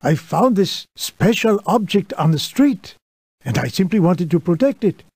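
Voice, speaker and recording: Flustered guilty villager, husky n high, dry recording, kids game character. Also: male voice, big pitch swings, unhurried, a little mellow, no room sound, exaggerated voice. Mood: flustered, evasive. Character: shifty, flustered villager making excuses. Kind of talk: scripted children's game voice acting.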